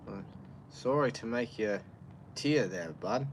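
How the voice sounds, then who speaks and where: A man's voice: on the low side, animated, takes it slowly, a little hiss or hum. A man livestreaming outdoors in chilly air, rambling casually and answering his chat in a loose, plain-spoken way. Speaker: livestreamer reviewing beer.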